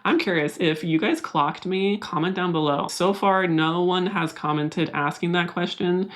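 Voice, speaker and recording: A young woman with a low, soft voice talks to her viewers as she pots plants. Her tone is candid and confiding, unhurried and personal, like a vlog voice-over. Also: fairly even intonation. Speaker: plant vlogger. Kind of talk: casual voice-over while repotting plants.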